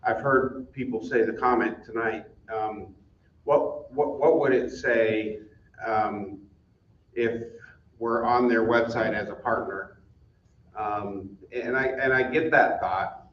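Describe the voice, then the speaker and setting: A man speaking in a halting, reflective and questioning way as he comments at a community meeting. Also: medium pitch, steady tone. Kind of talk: informal spoken comment. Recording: muffled, distant, echoey room with noise.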